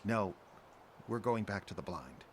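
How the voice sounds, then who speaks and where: A man playing an urgent, evasive middle-aged father on the run in an audio drama, speaking in a pressing, defensive, halting way. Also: fairly low pitch, expressive, heavy background noise. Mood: tense, insistent.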